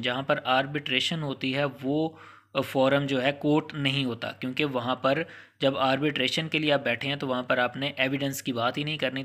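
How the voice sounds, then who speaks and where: A man with a Pakistani accent teaches in a bright, forceful voice at a middling pitch. He explains things informally, like a lecturer talking straight to students, and drops in English legal terms as he goes. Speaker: law lecturer.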